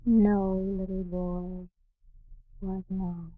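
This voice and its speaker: A woman's voice: mid-range voice, level tone, very slow, no echo. An actress playing a coy, murderous female circus trapeze artist in 1940s radio acting, teasing and purring. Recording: dull lo-fi 1940s radio broadcast.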